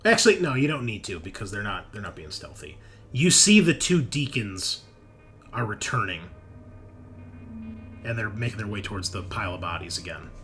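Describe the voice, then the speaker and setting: A man narrating a tabletop horror game as game master, improvising in halting, suspenseful phrases. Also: on the low side, sing-song, fairly bright tone, faint music behind.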